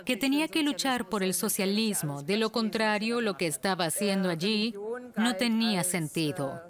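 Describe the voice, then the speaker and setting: A woman voicing a documentary interviewee's recollections in a measured, explanatory, conversational way. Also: mid-range voice, animated, a crowd in the background, natural voice. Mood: measured, reflective. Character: woman interviewee recalling her childhood, documentary dub.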